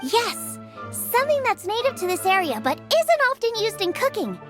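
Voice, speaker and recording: Game role: very high, animated teen girl chef, eager and bossy, music behind. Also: moderate pace. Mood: thoughtful, lively. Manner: exclamatory, playful, eager. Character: excitable, bubbly teenage girl chef, bossy and inventive. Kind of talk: video game character acting.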